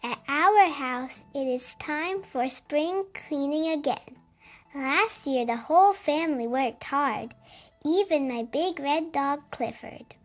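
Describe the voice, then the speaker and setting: A very young-sounding or high-voiced reader telling a children's story in a simple, expressive read-aloud style. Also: slow pace, faint music behind.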